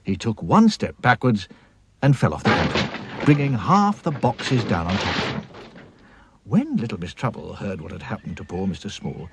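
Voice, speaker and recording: Very animated male children's storyteller. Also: lowish voice, normal speed, very noisy. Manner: playful, expressive. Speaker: narrator.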